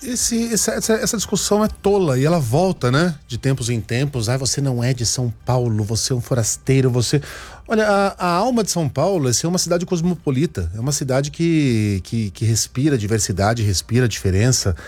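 A man's voice: mid-range voice, lively intonation, brisk, a little mellow. A man from Minas Gerais giving spoken political commentary in a conversational way, repeating words and often checking in with "né?". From Minas Gerais, Brazil. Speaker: news commentator.

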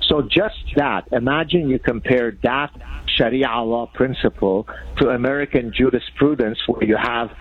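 A man's voice: mid-range voice, moderate pace, slightly rough. A man from Lebanon, an Arabic speaker, making pointed, emphatic arguments as a radio interview guest. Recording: tinny phone line.

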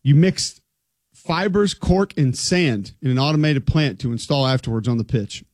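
A man co-hosting a relaxed sports talk show, wry and joking as he reads out facts and figures. His voice sits at an ordinary pitch, recorded close and dry with no room sound. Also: normal speed, no room sound.

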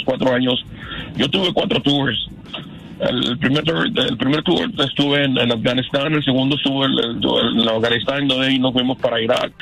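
A man in his fifties, from Canada, calling into a radio show and telling his story haltingly, with many restarts. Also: mid-range voice, vocal fry, fairly bright tone, breathy edge. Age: 50s. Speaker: caller. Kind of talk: phone-in testimony. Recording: phone call on radio.

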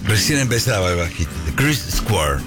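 A man's voice: lowish voice, very animated, moderate pace, over loud music. A man with a Rioplatense accent hosting a casual sports talk show, joking and telling stories. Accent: Rioplatense Spanish. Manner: joking storyteller, acts out voices. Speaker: radio host.

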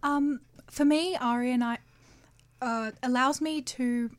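A young woman, a student singer, answers interview questions in a nervous, halting way and giggles now and then. Her voice sits a little high. She speaks plainly, with no accent noted. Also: steady tone.

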